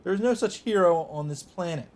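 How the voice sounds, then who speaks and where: A man narrating a visual novel as a let's play host, reading the text aloud in a deliberate, emphatic, dramatic style. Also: sits high, darkish tone.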